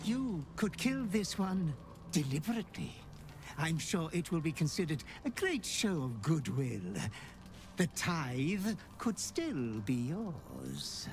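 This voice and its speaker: A silky, sinister butler. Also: male voice, fairly high pitch, wildly swooping pitch, exaggerated voice. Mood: menacing. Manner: smooth, sinister, slow and insinuating. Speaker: game character.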